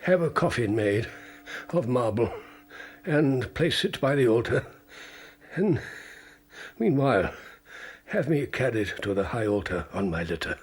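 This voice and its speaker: An actor playing an ailing, imperious and dry-witted old medieval pope in a radio drama, halting and stately. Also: male voice, medium pitch, big pitch swings, slightly rough. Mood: weak, solemn. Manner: halting, stately, wryly fastidious. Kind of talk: radio drama acting and first-person narration.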